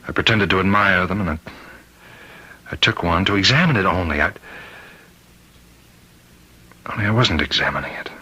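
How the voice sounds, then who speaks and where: Husky, anguished prison warden. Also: male voice, sits low, sharp tone, exaggerated voice, poor audio. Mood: halting confession. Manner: halting, anguished, repeating himself. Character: tormented prison warden, husband fearing he is possessed. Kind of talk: old-time radio drama acting. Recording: vintage radio drama recording.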